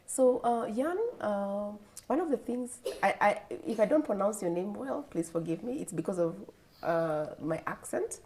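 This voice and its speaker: Kenyan woman host, mellow voice, keeps guests talking with steady affirmations. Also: medium pitch, normal speed, few pauses. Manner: encouraging, frequent affirmations. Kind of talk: casual interview.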